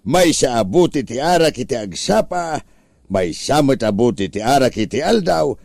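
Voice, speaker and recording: A man's voice: mid-range voice, animated, moderate pace, vocal fry, a little mellow, dry recording, clean studio sound. A man with an Ilocano accent playing a lazy, scheming older uncle who whines about being sick in a comedy drama. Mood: sly, stubborn. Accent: Ilocano, northern Philippines.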